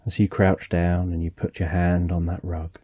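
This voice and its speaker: A man narrating a slow, soothing guided relaxation story in the second person, speaking softly and gently. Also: deep voice, monotone, husky, no echo, dull audio.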